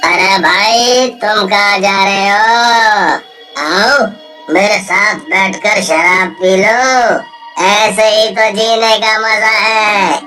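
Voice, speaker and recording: A woman voicing a vengeful male ghost in a kids' cartoon, speaking slowly and coaxingly and prodding with questioning hums. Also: mid-range voice, steady tone. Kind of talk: cartoon voice acting. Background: soft music.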